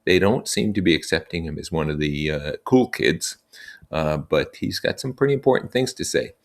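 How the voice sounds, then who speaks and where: A man with a low, gravelly voice reads a story aloud and stops to explain it informally. His intonation moves widely, keeping the narration expressive. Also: moderate pace. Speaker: reader and teacher explaining a novel. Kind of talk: story read aloud with casual explanatory comments.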